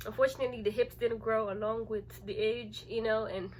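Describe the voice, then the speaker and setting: A thirty-year-old woman chatting casually to her channel as host, in an animated, playful and emphatic way, repeating phrases for effect. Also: a little high, fairly quick, a little hiss or hum, rough recording. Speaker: lifestyle vlogger and channel host. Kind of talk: casual chatty vlog to camera.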